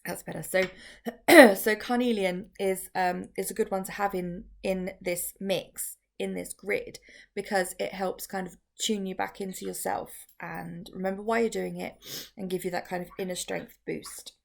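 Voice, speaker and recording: Woman, casual how-to teacher, slightly croaky with gravelly fry, restart-heavy delivery. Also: medium pitch, fairly even intonation, moderate pace. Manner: chatty, filler-heavy explaining with frequent restarts. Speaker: crystal workshop teacher.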